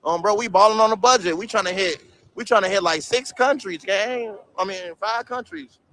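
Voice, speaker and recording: A young man with a high, bright, ringing voice talks loosely to his stream chat, full of slang and swearing. He reads viewer comments aloud and laughs them off while out on a city street, talking into a phone held at arm's length. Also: moderate pace, slight background noise. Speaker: livestreamer. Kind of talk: casual livestream chat reading viewer comments.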